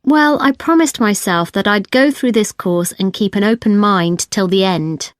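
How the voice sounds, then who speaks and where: A woman's voice: medium pitch, normal speed, no echo, clean studio sound, close to an everyday voice. An actress playing a frank, independent-minded young female student in a scripted, conversational listening-test dialogue. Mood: firm. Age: young adult. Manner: conversational, firm.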